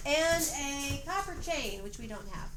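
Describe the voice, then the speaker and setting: A woman's voice: high-pitched, lively intonation, unhurried. A woman reading a story aloud as narrator of a homemade family play, prompting others with off-the-cuff directions. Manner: coaching, prompting others to repeat lines. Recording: roomy, noisy amateur home recording.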